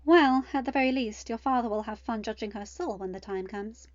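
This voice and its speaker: A woman's voice: a little high, brisk, no room sound, muffled recording, natural voice. Woman voicing a firm, regal goddess mother, close-up. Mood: dry, wry.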